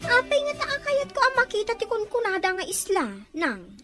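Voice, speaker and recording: A woman's voice: extremely high pitch, big pitch swings, moderate pace, over music. A woman playing an eager, innocent young child in an Ilocano radio drama. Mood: wide-eyed, curious.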